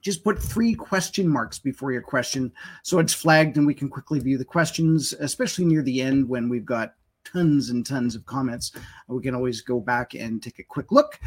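A man's voice: on the high side, a little mellow, slightly rough. A man hosting a live webinar interview, chatty and hesitant, with frequent fillers. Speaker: webinar host.

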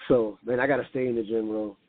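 Slangy husky man in his late forties. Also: medium pitch, steady tone, fast, phone-quality sound. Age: late 40s. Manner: slangy, informal, peppers talk with "bro" and "man". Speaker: comedian, interview guest. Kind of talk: casual conversational chat.

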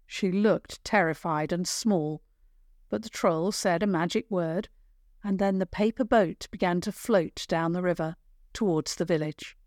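Slow-paced woman storyteller, dry close recording. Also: lowish voice, darkish tone, no room sound. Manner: measured, careful storytelling. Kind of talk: scripted children's story narration.

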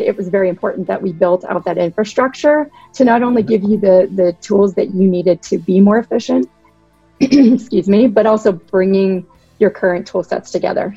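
A woman from Boston in her thirties, a podcast guest giving articulate, measured explanations of her work. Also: medium pitch, warm tone, slightly rough, dry recording, muffled recording. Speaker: guest, product director. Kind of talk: podcast interview.

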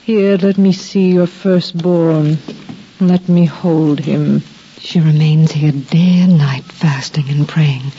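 A tender, reverent biblical woman speaks formally and earnestly in a low, mellow voice. It is 1950s radio drama acting on a dull old recording with faint noise. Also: steady tone, slow, breathy edge, heavily acted. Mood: warm greeting.

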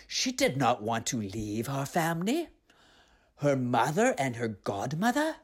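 A storyteller narrating and reflecting on a tale in an earnest, unhurried, reflective way. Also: medium pitch, wildly swooping pitch.

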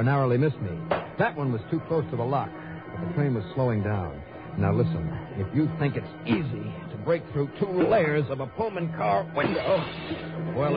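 An actor playing a tough, sardonic American private investigator in a radio drama, with a punchy, wry delivery. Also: male voice, medium pitch, lightly acted. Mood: narrating, wry. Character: tough, sardonic male private investigator narrating his case. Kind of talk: 1950s-60s radio detective drama acting, first-person narration. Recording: dull vintage radio recording with music.

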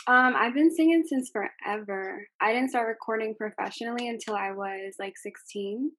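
Soft-voiced young woman, hesitant. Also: on the high side, normal speed. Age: young adult. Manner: hesitant, many ums and likes. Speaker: singer being interviewed. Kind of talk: casual interview answers.

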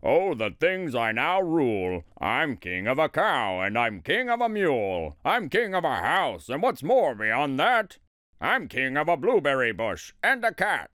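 A man voicing a boastful, power-hungry turtle king from a children's storybook, with pompous, commanding, drawn-out proclamations. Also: mid-range voice, sing-song, fairly bright tone, no echo, clean studio sound. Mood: gloating.

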